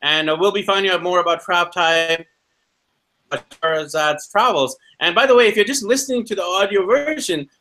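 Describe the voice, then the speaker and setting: A man's voice: high-pitched, normal speed, sharp tone. A man hosting a podcast interview in an upbeat presenting style. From Vancouver, Canada. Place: indoors in a condo. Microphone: video call.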